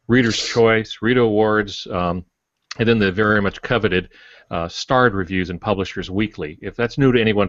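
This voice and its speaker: Very deep-voiced older man, dry sound. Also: fairly bright tone, no echo. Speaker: podcast co-host, author. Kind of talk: informal guest introduction. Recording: dull, dry lo-fi audio.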